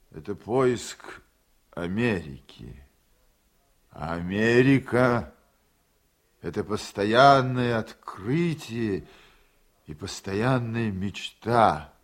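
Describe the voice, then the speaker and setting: Slow, sentimental old senator. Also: male voice, lowish voice, heavily acted. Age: 70s. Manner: halting, repetitive, theatrical oratory. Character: elderly tipsy senator, sentimental, rambling banquet orator. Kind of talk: Soviet radio drama acting, speech-making.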